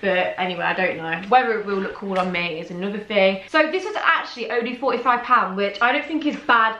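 A woman's voice: medium pitch, brisk, bright, forceful tone, echoey room, lo-fi sound. A young woman in her twenties chatting to viewers about clothes she bought, her voice a little worn from talking. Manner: chatty, addresses viewers as guys. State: slightly losing her voice. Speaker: fashion vlogger. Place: bedroom, seated on a bed.

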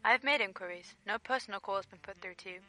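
Actress as brisk telephone operator, radio drama, very sharp tone, rapid, music behind. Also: female voice, mid-range voice, natural voice. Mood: businesslike. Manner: formal, businesslike. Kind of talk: radio play acting.

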